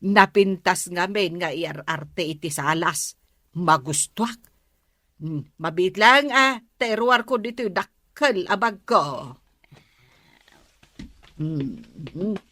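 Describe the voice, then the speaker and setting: Woman acting a village neighbour lady, ilocano accent, super slow, lowish voice, dry comedy drama recording. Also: animated, pushed and bright, no room sound, exaggerated voice. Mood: pleased.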